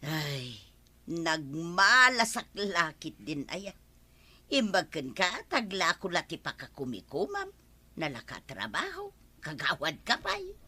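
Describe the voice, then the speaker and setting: A woman's voice: lowish voice, sing-song, slow pace, pushed and bright, breathy edge, dry recording, heavily acted. A woman with an Ilocano accent playing a whiny, grumbling village wife tired of farm work in a radio comedy drama.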